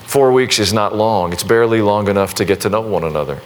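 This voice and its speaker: Folksy pastor with restarts. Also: male voice, lowish voice, fast talker, a little hiss or hum. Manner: conversational, folksy, self-deprecating with many restarts. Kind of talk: sermon and prayer to a congregation.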